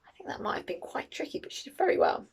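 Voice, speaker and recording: A woman reading a picture book aloud to children in an expressive, teacherly, questioning way. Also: fast talker, in a whisper.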